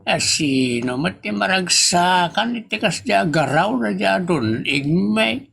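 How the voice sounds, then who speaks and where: Guy doing a grumbling old ilocano dad in a comedy radio drama, gravelly and slow, music behind. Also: high-pitched, expressive, a bit bright, heavily acted. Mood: indignant.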